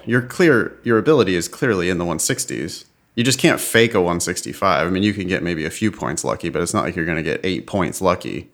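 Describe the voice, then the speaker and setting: Male podcast co-host, lowish voice, blunt and exasperated, laughs easily. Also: fairly bright tone. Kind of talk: casual podcast chat.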